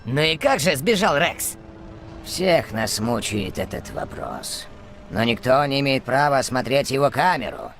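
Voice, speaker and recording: An actor playing a gruff, sly old male prison inmate who wheels and deals, in a drawling, knowing way. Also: medium pitch, a bit bright, slight background noise. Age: older. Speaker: voice actor in a game dub. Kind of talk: dubbed game cutscene acting.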